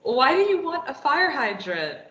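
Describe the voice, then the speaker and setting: A woman's voice: sits high, sing-song, measured pace, fairly bright tone, exaggerated voice. A woman reading a sardonic, probing young captive at a play table read, teasing and wry. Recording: echoey room, muffled lo-fi.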